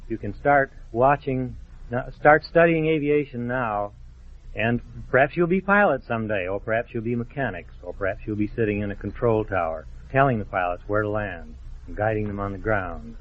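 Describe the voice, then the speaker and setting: A man's voice: lowish voice, animated, moderate pace, narrow, tinny audio. A man speaking politely and formally as a guest on a 1950s radio show, heard on an old broadcast recording. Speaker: magazine editor, radio guest. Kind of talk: brief formal interview answers.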